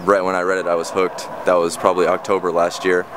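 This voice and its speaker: Young man, very low monotone voice, outdoor city noise under him. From California. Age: 20s. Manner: focused, many "uh" pauses. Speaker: interviewee. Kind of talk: casual interview answers.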